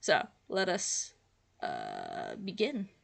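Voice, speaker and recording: A woman's voice: on the low side, huge pitch swings, drawn-out words, gravelly. A young woman with a stuffy, nasal voice from just having woken up talks through her commentary. She rambles loosely, full of fillers, and laughs at herself along the way. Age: young adult. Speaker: channel host. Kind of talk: casual reaction commentary.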